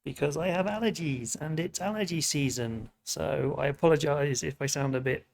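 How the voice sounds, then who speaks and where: A British man with a sniffly, hoarse voice, tired and chatty in a self-mocking way, who hosts a storytelling show and reads an epic poem aloud. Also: fairly high pitch, moderate pace, a little hiss or hum. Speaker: storyteller host. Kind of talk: casual intro, then reading an epic poem aloud.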